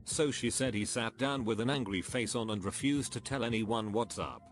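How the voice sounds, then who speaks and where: A man reading anecdotes aloud as a scripted narrator, at a steady, even pace. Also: medium pitch, moderate pace. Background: faint background music.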